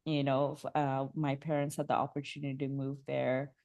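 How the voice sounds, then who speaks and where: A young woman from Bangladesh, a holistic coach, talking about her life in a soft, reflective way with many fillers and restarts. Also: extremely low pitch, flat delivery, a little fast. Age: young adult. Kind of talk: casual interview chat.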